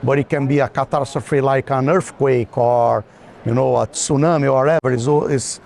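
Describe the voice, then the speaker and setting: A man's voice: medium pitch, steady tone, moderate pace, gravelly, a little mellow. A man speaking as an art collector in informal conversation, hesitant and often restarting his phrases. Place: art fair, walking tour. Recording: background noise of a busy hall.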